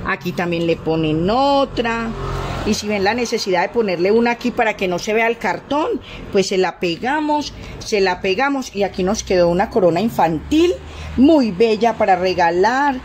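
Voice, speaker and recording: A woman's voice: medium pitch, lively intonation, normal speed, a little mellow, slight background noise. A middle-aged Colombian woman chatting casually through a craft demonstration, affectionate and repetitive, full of endearments and diminutives. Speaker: craft tutorial host.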